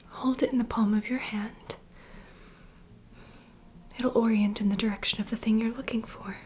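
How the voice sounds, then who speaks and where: A woman playing a nurturing, gossipy vampire bartender in an ASMR fantasy roleplay, speaking in a soft, breathy, confiding whisper. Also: fairly high pitch, a little hiss or hum, close to an everyday voice. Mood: gentle, reassuring. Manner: intimate, confiding, gentle.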